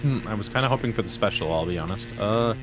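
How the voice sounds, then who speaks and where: Rambling low-voiced man streaming a game. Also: animated, quick pace. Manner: rambling, many fillers and restarts, self-deprecating. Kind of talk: casual chat while gaming. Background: game music.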